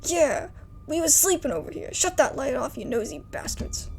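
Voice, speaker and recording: A woman voicing grumpy cave bats woken by a flashlight, rude and gruff. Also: high-pitched, wildly swooping pitch, moderate pace, darkish tone, slight background noise, full character voice. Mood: annoyed.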